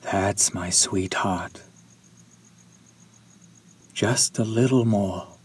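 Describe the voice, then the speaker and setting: A man's voice: on the low side, extremely slow, darkish tone, slight background noise. A man playing a courtly, centuries-old vampire in an intimate audio roleplay, speaking to the listener with formal, old-fashioned politeness. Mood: hungry, breathless.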